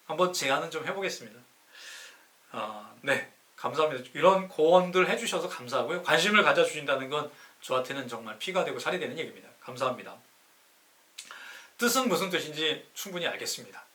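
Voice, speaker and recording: A man's voice: on the high side, expressive, a little fast, bright, forceful tone. A man hosting a live-streamed lecture in an emphatic, didactic way, asking rhetorical questions and answering them himself, with a sore throat. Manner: emphatic, stretches key words. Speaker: live-stream host, city councilor. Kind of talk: live-streamed lecture explaining classical poetry.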